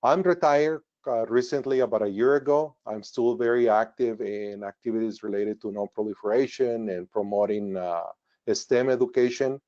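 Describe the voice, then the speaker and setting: A man's voice: mid-range voice, moderate pace, mellow. A man in his sixties with a Mexican Spanish accent, a native Spanish speaker who grew up in northern Mexico, giving an earnest, explanatory webinar talk as a retired engineer, with frequent fillers. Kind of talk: presentation talk over slides. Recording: dull lo-fi webinar audio.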